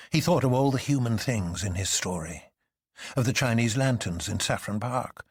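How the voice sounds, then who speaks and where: Male audiobook narrator: theatrical classic-fiction read, husky timbre, highly varied intonation, dry recording. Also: no echo. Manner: theatrical, expressive.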